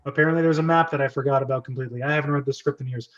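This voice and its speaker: A man's voice: mid-range voice, fairly even intonation, racing, muffled recording. A man hosting and narrating an amateur script reading in a playful, chatty, self-interrupting way, with casual commentary.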